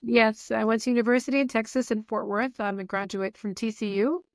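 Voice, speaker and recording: Lively, higher-voiced woman in her fifties. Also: no room sound, studio quality. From Saudi Arabia. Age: 50s. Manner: animated, candid, quick to laugh. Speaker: guest. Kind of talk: conversational interview.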